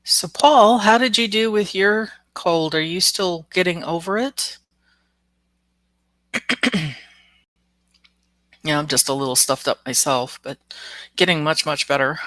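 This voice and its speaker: A woman's voice: low voice, fairly bright tone, dry recording. Stuffed-up woman chatting on a live stream. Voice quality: nasal, congested. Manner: chatty, greets commenters by name, laughs often. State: stuffed up after the flu. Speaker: live stream host, oracle card reader. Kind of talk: casual live chat with viewers.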